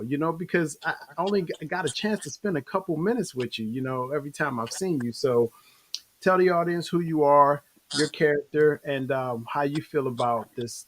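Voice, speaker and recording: A man hosting a casual online interview with a stage cast, talking in an easygoing, rambling way with many fillers over a video call. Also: fairly high pitch. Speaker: show host.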